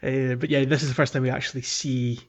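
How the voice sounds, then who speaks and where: A man co-hosting a video game podcast in casual chat, talking in a wordy, halting way and repeating words. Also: mid-range voice, flat delivery. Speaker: podcast co-host.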